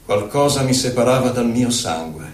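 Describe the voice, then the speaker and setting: A man's voice: mid-range voice, lively intonation, lightly acted. Man acting a theatrical poet in echoing space. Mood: somber, haunted. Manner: theatrical, declamatory, measured phrasing. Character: a poet recalling his life and travels, impassioned and solemn. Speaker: actor in a poetic theatre piece for radio. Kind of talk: dramatic poetry recital. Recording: roomy echo, distant mic, violin music. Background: violin music.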